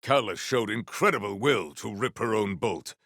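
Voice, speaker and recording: An actor playing a proud, formal, honor-bound warrior in a video game, with archaic, theatrical diction. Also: male voice, fairly low pitch, animated, moderate pace, a bit bright, no echo, studio quality. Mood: courteous, measured.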